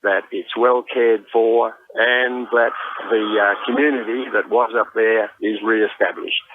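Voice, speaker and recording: An Australian man giving a halting interview soundbite as a local mayor over a narrow phone line. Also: fairly low pitch, steady tone, noisy background.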